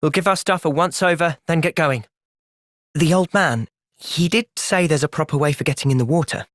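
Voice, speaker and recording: A man playing an earnest, thoughtful young soldier and party leader in a video game, sincere and resolute in a measured way. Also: on the high side, a bit bright, no room sound, close to an everyday voice. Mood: calm, thoughtful. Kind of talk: video game cutscene acting.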